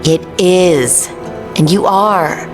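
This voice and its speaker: An actress playing a steady, supportive female adventurer in a video game, plain-spoken, reassuring and gently teasing. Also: on the low side, extremely slow, over music. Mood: teasing, tender.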